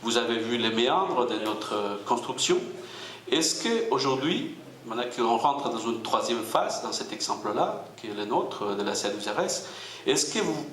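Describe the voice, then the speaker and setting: A man's voice: mid-range voice, very animated, moderate pace, fairly bright tone. Male debate moderator in an echoey hall. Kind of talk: unscripted moderating at a public debate. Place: public meeting hall.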